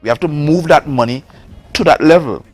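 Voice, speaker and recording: A man from Tobago with a Trinidad and Tobago accent, making a forceful political case on a morning talk show and repeating words for emphasis. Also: a little high, moderate pace, faint music behind. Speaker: politician, guest.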